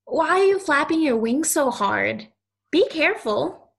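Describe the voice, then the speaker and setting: A woman's voice: high-pitched, animated, measured pace, vocal fry, fairly bright tone, exaggerated voice. A woman playing a lonely, stubborn ten-year-old girl in an audio play, speaking in an earnest, insistent, childlike way. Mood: excited, possessive.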